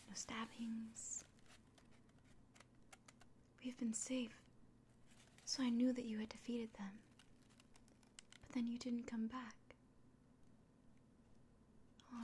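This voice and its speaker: A woman playing a kind, anxious village innkeeper in a fantasy ASMR roleplay, speaking gently and directly to the listener in a breathy, mostly whispered voice. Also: on the high side, flat delivery, a little slow, pauses often, slight background noise, lightly acted. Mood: curious, relieved.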